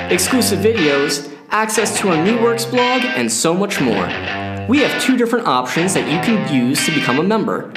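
A man reading a scripted promotional announcement for a theater company. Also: a little high. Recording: echoey, loud guitar music behind. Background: loud plucked guitar music.

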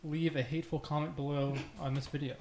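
A man's voice: a little high, flat delivery, fast, a little mellow. Man hosting a podcast in an echoey room. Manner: mock-grandiose, playful introductions. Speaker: podcast host. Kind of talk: casual group podcast banter. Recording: echoey room, faint noise.

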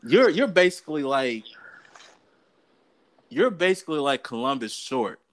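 A man's voice: on the high side, big pitch swings, unhurried, rough recording. An American man co-hosting a casual sports talk show, giving opinions in an emphatic, colloquial way and repeating phrases for effect.

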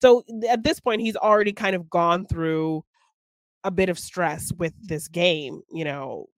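A woman's voice: lowish voice, unhurried, no echo. Woman podcaster talking through a film, close-miked. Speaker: podcast co-host. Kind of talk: casual film discussion.

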